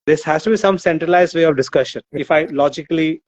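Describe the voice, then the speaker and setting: A man who grew up in Nigeria, an author guest in a casual discussion, who talks thoughtfully and pauses often to search for words. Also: a little high, no echo, lo-fi sound. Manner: thoughtful, with many fillers and restarts.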